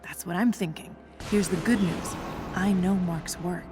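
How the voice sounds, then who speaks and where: A woman's voice: on the low side, fairly even intonation, a little slow, music in the background, close to an everyday voice. A woman voicing a tough, smart young scientist in a video game, dry and matter-of-fact. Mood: businesslike. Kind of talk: video game voice acting, naturalistic dialogue.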